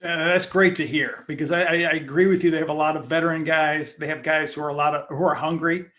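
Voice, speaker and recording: A man giving casual sports commentary as a guest, in halting, hesitant phrases. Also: a little high, fairly even intonation, a bit bright. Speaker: sports fan-site founder, guest.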